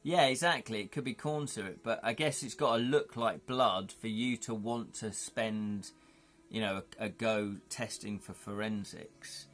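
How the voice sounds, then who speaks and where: A man's voice: fairly low pitch, measured pace, a bit bright, soft background music. Chatty man rambling on stream. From United Kingdom. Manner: rambling, chatty, thinks aloud, often says "you know". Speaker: livestream host. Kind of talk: casual chat with viewers.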